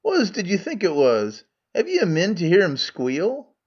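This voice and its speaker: Man doing a Scottish-accented trader, crafty and wheedling, voice pushed up high, muffled lo-fi sound. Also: huge pitch swings, normal speed, slightly rough, exaggerated voice. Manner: broad dialect, coaxing and blustering. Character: crafty poor male trader, older, wheedling. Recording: muffled lo-fi recording.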